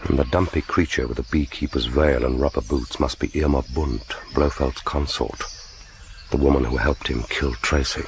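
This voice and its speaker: Very deep-voiced, grim, vengeful spy. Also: male voice, steady tone, normal speed. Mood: cold contempt. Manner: brooding, sardonic inner monologue. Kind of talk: radio drama monologue. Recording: noisy radio drama recording.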